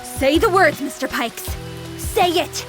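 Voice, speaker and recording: A woman's voice: high voice, lively intonation, takes it slowly, over music. A woman playing a young female AI companion with an anime-girl persona in a visual novel game, devoted and formal but playful. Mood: excited. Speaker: game character.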